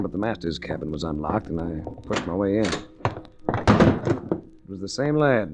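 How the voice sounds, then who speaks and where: Low-voiced hard-boiled actor as a radio detective. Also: male voice, lightly acted. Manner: wry, probing, clipped. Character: cool, persistent hard-boiled male investigator narrating his own adventure. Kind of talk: 1940s radio drama acting. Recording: noisy restored 1940s radio recording.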